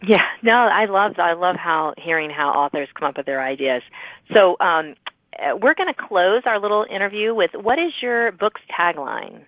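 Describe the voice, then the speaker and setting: Woman podcast host w a slightly low voice, chatty n encouraging, thin phone-like audio, no echo. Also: lively intonation, normal speed. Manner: enthusiastic, encouraging. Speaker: podcast host interviewing authors. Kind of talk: casual, chatty interview.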